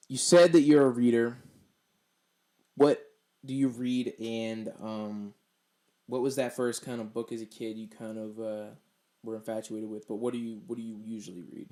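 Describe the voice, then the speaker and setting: A man's voice: fairly low pitch, fairly even intonation, moderate pace, mellow. A man from Delaware hosting a podcast, talking in a loose, profane, chatty way. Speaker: podcast host. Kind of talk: casual podcast interview.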